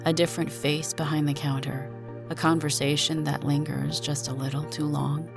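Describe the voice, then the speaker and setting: Low-voiced woman reading suspense fiction. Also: flat delivery, moderate pace, creaky, over music. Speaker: narrator and performer of a story. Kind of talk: dramatic first-person suspense story reading.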